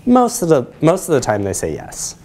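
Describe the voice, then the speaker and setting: A man's voice: a little high, wildly swooping pitch, moderate pace, darkish tone. A man around forty with an American accent, explaining things as a presenter taking questions in a lecture room.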